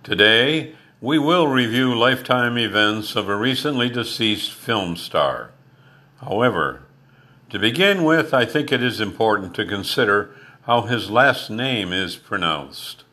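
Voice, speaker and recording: An older man from Canada, whose first language was French, hosting a podcast with a deliberate, scripted biographical narration. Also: lowish voice, expressive, bright, forceful tone. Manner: measured, deliberate reading. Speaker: podcast host.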